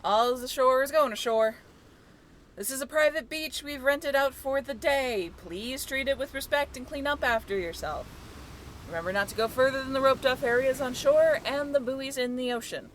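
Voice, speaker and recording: Brief: actress as cheerful young female tour-boat crew, higher pitched, casual and chatty, faint background noise. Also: normal speed, fairly bright tone. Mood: brisk, cheerful. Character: friendly, upbeat young woman, dive crew member on a tour ship. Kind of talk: modern-day roleplay audio drama, casual.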